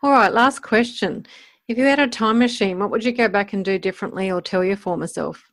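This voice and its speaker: Female podcast interviewer, medium pitch, conversational delivery, dry recording. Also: brisk, no room sound.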